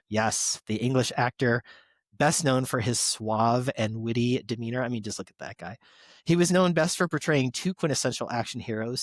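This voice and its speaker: A man's voice: mid-range voice, no room sound. A man hosting a podcast, reading out tributes in a conversational, stop-and-start way with casual asides. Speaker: podcast host.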